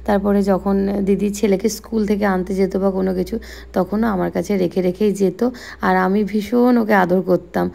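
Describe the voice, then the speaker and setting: A woman's voice: mid-range voice, steady tone, moderate pace, gravelly, dark tone. A young woman with a West Bengali accent narrating a casual daily vlog in a chatty, explanatory way. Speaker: vlogger.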